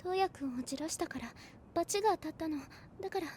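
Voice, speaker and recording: A woman's voice: very high voice, racing, a little mellow, a little hiss or hum. An actress playing a sweet young girl in an anime. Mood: soft, intimate. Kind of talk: Japanese anime voice acting.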